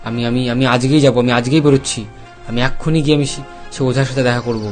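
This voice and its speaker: Casting: man as frantic village dad in cartoon, rapid pleading speech, repetitive, clear music bed. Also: mid-range voice, steady tone, natural voice. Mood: alarmed. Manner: agitated, pleading, repeats himself. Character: frantic, worried village father. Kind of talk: cartoon story voice acting.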